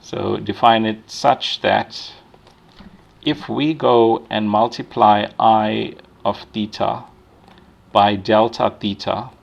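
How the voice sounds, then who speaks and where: A man's voice: fairly low pitch, fairly even intonation, slow, creaky, dull audio. A man giving a university lecture, explaining step by step with many restarts and checking in with "right?". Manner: frequent fillers and restarts while thinking aloud.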